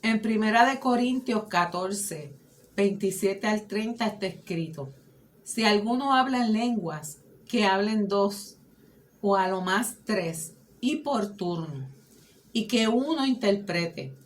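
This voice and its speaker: Mujer que lee un pasaje bíblico despacio. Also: female voice, mid-range voice, expressive, takes it slowly, slight background noise. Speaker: scripture reader. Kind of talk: reading a Bible passage aloud.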